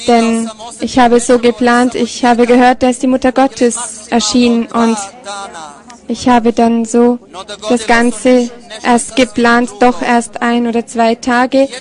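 Female live interpreter, higher-pitched, mellow, halting piecemeal delivery at an unhurried pace. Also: monotone. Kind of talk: live consecutive interpreting of a testimony.

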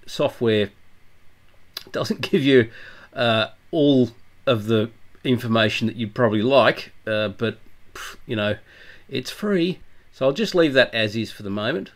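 A middle-aged man with a blocked-up flu voice, casually walking through engraving software with lots of ums and uhs. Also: lowish voice. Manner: relaxed, hesitant, many ums and uhs. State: congested from flu. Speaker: channel host demonstrating laser engraving software. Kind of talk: casual tutorial walkthrough. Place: workshop office, indoors.

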